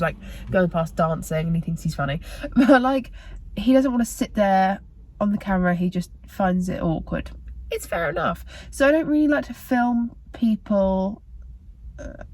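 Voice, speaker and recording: Tired, croaky British young woman chatting. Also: medium pitch, a little mellow. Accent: British English. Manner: rambling, filler-heavy, self-correcting. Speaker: vlogger. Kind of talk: casual chatty vlog talk to camera.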